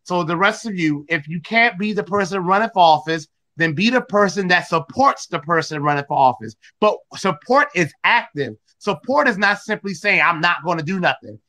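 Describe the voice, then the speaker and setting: Male host, high voice, emphatic and preachy, hammers his points home. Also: expressive, normal speed, hardly any pauses, sharp tone. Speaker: host of an online audio room. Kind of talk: political talk.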